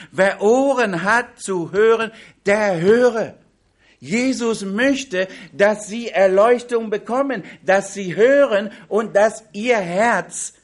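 A man preaching a sermon on a Bible passage in a language that is not his first, with slow, emphatic delivery that drops into quiet asides. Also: sits high. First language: not German.

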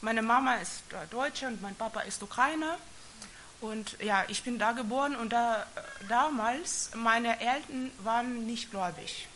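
Halting woman giving a faith testimony. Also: on the high side, fairly bright tone, a little hiss or hum. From Soviet Union. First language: not German. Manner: halting, hesitant, with frequent restarts. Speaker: church member giving testimony. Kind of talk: personal faith testimony with scripture reading.

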